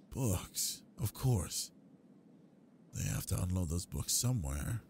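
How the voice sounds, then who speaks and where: A man acts out a brooding young goth bully for an intimate audio roleplay. He is possessive and teasing, speaking in a slow, deep, husky drawl, very close and dry. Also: a little mellow, no room sound, heavily acted. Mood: brooding, musing. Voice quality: low, gravelly, husky. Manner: slow, domineering, murmuring drawl.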